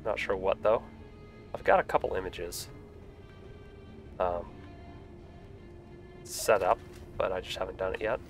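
A man's voice: deep voice, unhurried, long pauses, soft background music. A young man with a stuffy voice from a cold, chatting casually with lots of fillers while live-streaming a building game. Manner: relaxed, rambling, frequent fillers.

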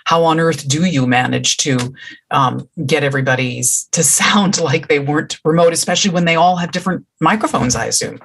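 A woman with a husky voice, moderating an online chat in an informal way. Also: deep voice, brisk, fairly bright tone, slight background noise. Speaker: event moderator. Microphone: video call.

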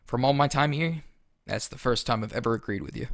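An actor playing a weary, sardonic teenage schoolboy in an audio drama, speaking in a dry, reluctant way. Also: male voice, medium pitch, brisk, gravelly, natural voice.

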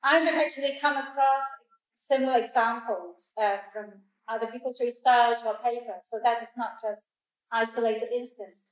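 A woman leading a group discussion, explaining her views in a careful, measured way. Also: a little high, steady tone. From Hong Kong. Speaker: discussion leader. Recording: echoey room, distant mic.

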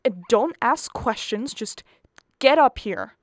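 A woman's voice: a little high, lively intonation, takes it slowly, no echo, clean studio sound. A woman playing a nervous, harassed middle-aged mother of the bride in a radio play, pleading and exasperated. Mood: urgent.

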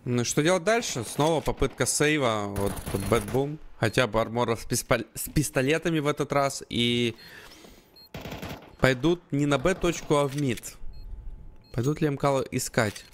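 A man's voice: mid-range voice, normal speed. A man commentating live on an esports match and analysing play as it unfolds. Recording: background noise, clicks and game gunfire. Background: game gunfire.

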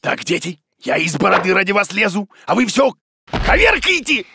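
A man's voice: sits high, very animated, moderate pace, vocal fry, sharp tone, breathy edge, heavily acted. A man voicing a grumpy, muddle-headed bearded creature who teaches with bluster in a children's cartoon. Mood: grumpy, exasperated. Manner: blustery, exclamatory, mock-scolding.

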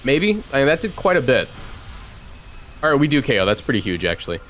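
A man in his twenties giving casual live game commentary, chatting and thinking out loud as he plays. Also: medium pitch, very animated, quick pace, slight background noise. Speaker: streamer.

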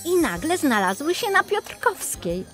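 A woman narrating a scripted fairy tale for children in an expressive storytelling style. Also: sits high, a little slow. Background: music.

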